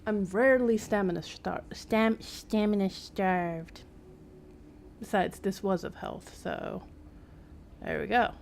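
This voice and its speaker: A woman's voice: medium pitch, slow, vocal fry, darkish tone, a little hiss or hum. A woman giving casual live-stream game commentary, rambling as she thinks aloud, with wry asides.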